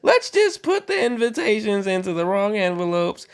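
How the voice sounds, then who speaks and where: A woman's voice: mid-range voice, very animated, normal speed. A woman voicing spiteful, scheming young stepsisters from a fairy tale in a gloating, sneering manner.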